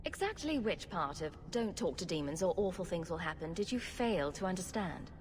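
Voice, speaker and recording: Wry, sardonic rogue heroine. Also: female voice, fairly low pitch, big pitch swings, normal speed. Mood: exasperated, sarcastic. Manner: sardonic, direct. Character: wry, sarcastic adventurer woman, a rogue hero. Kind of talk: video game dramatic acting. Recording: faint background ambience noise.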